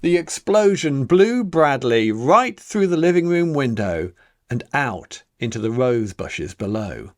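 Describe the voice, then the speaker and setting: A man reading a children's picture book aloud as its author, in a dramatic, deliberate storytelling manner with emphatic pauses. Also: medium pitch, big pitch swings, slight background noise. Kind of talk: expressive children's story narration.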